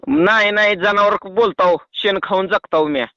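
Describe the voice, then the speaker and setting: A man with a high, sharp voice and a rural Maharashtrian accent talks on a phone line. His delivery is colloquial and argumentative, like a farmer pressing his point. The recording is dry and muffled. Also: moderate pace, clear, resonant tone, no echo. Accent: rural Maharashtrian Marathi. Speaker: farmer calling a doctor. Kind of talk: casual phone conversation. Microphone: phone call.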